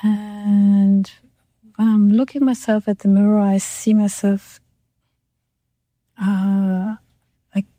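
A woman speaking English as a second language in a very mellow, relaxed voice, close and dry. They take their time over reflective talk about art, halting to find the right word. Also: medium pitch, no room sound. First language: not English. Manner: halting, searching for words. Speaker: artist in conversation.

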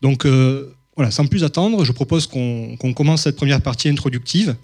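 A man's voice: medium pitch, moderate pace, a little mellow, breathy edge. A man from southern France giving a public mathematics lecture, hesitant, with many fillers and restarts.

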